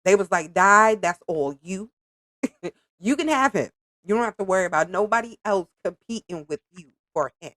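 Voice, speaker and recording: A woman's voice: medium pitch, normal speed, dry recording, studio quality. A woman hosting a podcast, chatting casually in slang with a slightly nasal voice from allergies. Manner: chatty, animated, informal slang. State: congested from allergies. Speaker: podcast host reacting to a reality show. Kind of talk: casual reaction commentary.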